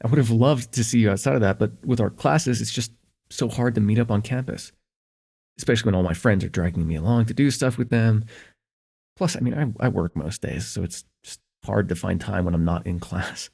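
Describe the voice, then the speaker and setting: A man playing a shy, smitten young student in an intimate ASMR roleplay, with an earnest, hesitant delivery and a husky, slightly gravelly voice. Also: lowish voice, brisk. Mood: wistful, earnest. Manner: hesitant, earnest, stammering, chuckling softly between phrases.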